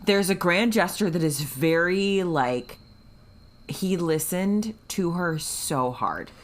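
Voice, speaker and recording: A woman's voice: on the low side, slow. A woman co-hosting a book podcast, talking casually in an emphatic, gushing, sweary way. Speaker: book club podcast co-host.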